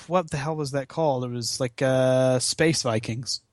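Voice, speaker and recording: A man chatting casually as a podcast guest, rambling and halting, with some swearing. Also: mid-range voice. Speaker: podcast guest, charity gaming marathon organizer. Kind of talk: casual chat.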